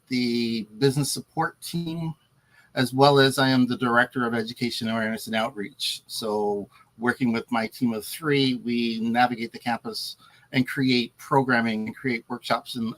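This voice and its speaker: A man with a Nova Scotian accent, a guest on a talk show, calmly explaining his work and a local history. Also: mid-range voice, rough recording.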